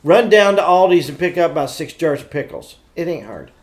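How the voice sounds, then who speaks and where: A middle-aged man with a slightly high-pitched voice chats casually as a podcast co-host. He tells things in an animated, blunt way, with some mildly crude phrasing. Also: a little fast, slight background noise. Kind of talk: casual pop-culture chat.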